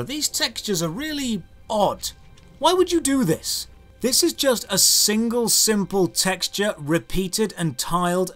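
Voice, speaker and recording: A British man in his thirties narrating a scripted, critical video game review in an emphatic, sardonic manner. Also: high voice. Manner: emphatic, rhetorical questions, sardonic.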